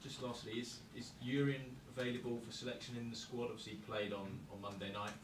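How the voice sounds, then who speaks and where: A journalist asking brisk, direct questions at a press conference. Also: male voice, mid-range voice, steady tone, echoey room, distant mic, a little hiss or hum, rough recording.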